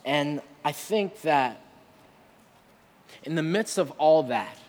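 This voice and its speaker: A man's voice: fairly high pitch, expressive, slow, darkish tone. A man preaching a conversational sermon, earnest and rhetorical, often checking in with his listeners. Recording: reverberant hall with long echo.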